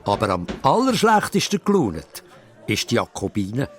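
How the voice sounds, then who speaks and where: A man with a Swiss German accent narrating a children's radio play in an animated storytelling manner. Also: medium pitch, normal speed, a little hiss or hum.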